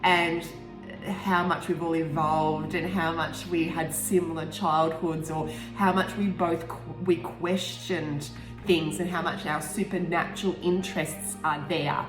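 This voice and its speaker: A woman's voice: low voice, little pitch movement, a little slow. A woman in her forties with an Australian accent, chatting casually and candidly as an author reflecting on her own writing, often rambling and interrupting herself. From Tasmania, Australia. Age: 40s. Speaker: author reading and discussing own book. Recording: roomy echo, music behind. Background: music.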